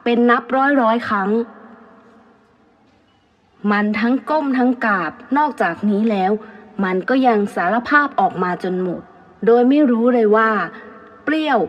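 A woman's voice: on the high side, expressive, measured pace, long pauses. A woman storyteller narrating a scripted tale in a dramatic style. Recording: muffled, long reverb.

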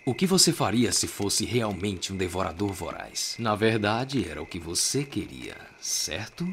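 A man with a Brazilian accent voicing a sly, condescending agent in a measured, mocking way for an anime fan dub. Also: on the low side, big pitch swings, fairly bright tone, slight background noise, voice pushed down, exaggerated voice. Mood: mocking, composed.

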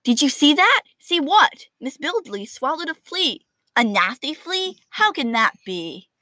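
A woman voicing excitable, disbelieving schoolchildren in a picture book, in exclamations and incredulous questions. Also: high-pitched, unhurried, no room sound, pitched above the performer's own voice, heavily acted. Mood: astonished. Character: excitable, astonished schoolchildren watching their teacher in disbelief. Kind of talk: children's picture book read aloud.

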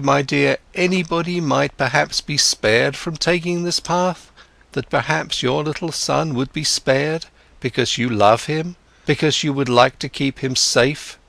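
A man voicing a gentle, patient old male ferryman who asks calm, searching questions. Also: mid-range voice, creaky, no echo, pitched above the performer's own voice.

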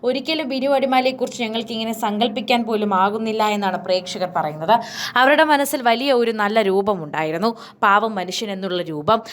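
A woman reading scripted entertainment news narration as a voice-over. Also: medium pitch.

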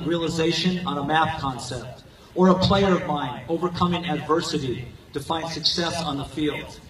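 An older man giving a prepared graduation speech as a coach and teacher, speaking earnestly in measured, declarative phrases. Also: a little high. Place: outdoor football stadium, recorded from the stands. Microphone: podium microphone over PA, captured by distant handheld camera. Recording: echoey stadium PA heard from far off.

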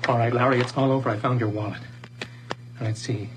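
A man's voice: medium pitch, steady tone, brisk, heavy background noise, lightly acted. An actor playing a bewildered, paranoid man in a radio horror drama, intense and suspicious. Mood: agitated. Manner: muttering to himself, intense, suspicious. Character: bewildered, paranoid man doubting his own identity.

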